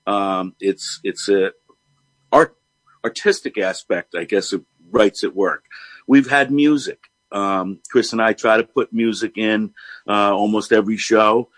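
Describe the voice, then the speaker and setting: A man with a fairly low voice, recorded dry and close, co-hosts a live radio talk show. He speaks off the cuff and reflectively, pausing often between short phrases. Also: fairly bright tone, dry recording.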